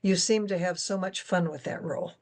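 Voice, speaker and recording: Lowish-voiced woman moderating a conversation. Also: a little fast, darkish tone. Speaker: guest moderator reading audience questions.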